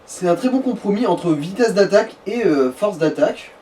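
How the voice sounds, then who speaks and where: A man with a soft-edged, mellow and slightly high voice co-hosts casual gaming commentary. He explains things in everyday slang, sitting a little back from the mic with faint noise around him. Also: animated, moderate pace. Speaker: let's play co-host. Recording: slightly distant, noisy amateur recording.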